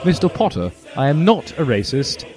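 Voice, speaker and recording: A man's voice: a little high, sing-song, normal speed, a little mellow, voice pushed up. A man voicing a stern, prim older female teacher who scolds disapprovingly in a comic story reading. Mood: scolding, indignant. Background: music.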